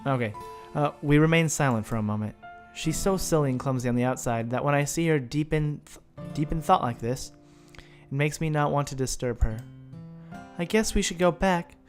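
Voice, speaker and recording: A man's voice: medium pitch, brisk, soft background music. A man casually reading visual novel text aloud as the host of a let's play video.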